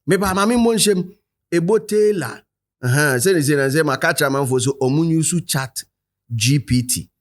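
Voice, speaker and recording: A man with a Ghanaian accent hosting a commentary show, animated and rhetorical, speaking straight to his viewers. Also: a little high, normal speed. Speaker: show host. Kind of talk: commentary to camera, mixing Twi and English.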